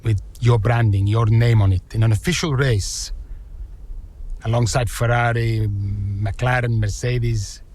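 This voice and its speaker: Weary team owner for a racing game, male actor, husky, deliberate, slow interview delivery. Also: medium pitch, steady tone, a little mellow, lightly acted. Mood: proud. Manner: deliberate, pausing. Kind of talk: documentary-style interview answers.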